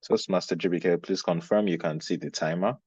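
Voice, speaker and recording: A man's voice: sits low, steady tone, darkish tone, breathy edge, dry recording. A man formally and carefully hosting an online contest. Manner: formal, measured. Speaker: contest chair. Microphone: video call. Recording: dry, dull video call audio.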